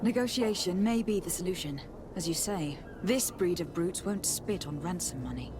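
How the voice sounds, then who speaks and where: An actress playing a courteous, composed young noblewoman envoy in a video game, speaking in a measured, polite way. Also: lowish voice, noisy background, natural voice. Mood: thoughtful. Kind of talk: video game cutscene acting.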